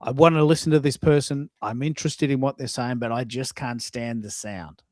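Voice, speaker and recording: A man's voice: mid-range voice, steady tone, moderate pace, a little mellow, no room sound, clean studio sound. A man hosting a live audio show in a casual, conversational way, posing questions and acting out what people say.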